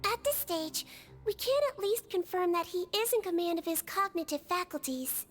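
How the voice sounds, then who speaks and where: A tiny childlike female nurse from a video game. She is gentle and professional, with a very high, lively voice over game music. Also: moderate pace. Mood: calm, clinical. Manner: soothing, tidy, professional.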